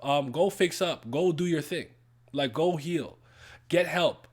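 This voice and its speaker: A man's voice: on the high side, slow pace. A man whose first language is French, casually answering chat comments on a livestream with blunt, heated opinions and repeated phrases. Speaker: YouTuber streaming to chat.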